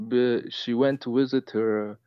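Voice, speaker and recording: A man's voice: mid-range voice, steady tone, measured pace, clear, resonant tone, dry recording, lo-fi sound. A young man from Germany in his twenties calling in to tell a personal story, hesitant and emotional, with many fillers and restarts. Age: 20s. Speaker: caller. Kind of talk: personal story on a call-in show.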